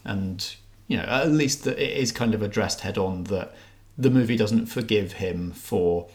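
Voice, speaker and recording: A man with a fairly low-pitched voice talks through a film review as a podcast co-host. His delivery is conversational and a bit hesitant, often reworking a phrase as he goes. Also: measured pace. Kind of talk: casual review chat.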